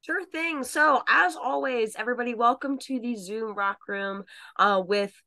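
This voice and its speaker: A woman's voice: a little high, normal speed, bright, forceful tone. A woman co-hosting a casual video-call show, a little froggy from a cold, friendly and teasing. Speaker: co-host. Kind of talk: casual chat.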